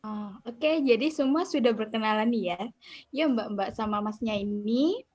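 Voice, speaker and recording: A woman playing a calm 35-year-old counselor who leads a group session on a video call and reassures the members. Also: mid-range voice, normal speed, no room sound, lightly acted. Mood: steady. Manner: gentle, reassuring, with polite fillers. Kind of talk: student counseling roleplay. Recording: dull, dry video-call audio.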